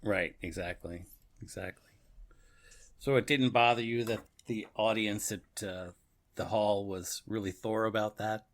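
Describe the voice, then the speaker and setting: A man's voice: lowish voice, steady tone. A man chatting casually as an agreeable podcast co-host. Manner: agreeable, quick to affirm. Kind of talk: casual chat.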